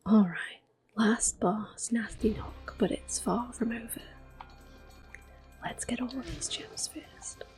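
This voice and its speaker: A woman narrates her video game in a soft, breathy whisper with a mellow tone. She is gentle and unhurried, in an ASMR style, with game music playing behind her. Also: on the high side. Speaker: gameplay streamer. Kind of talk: ASMR gameplay commentary.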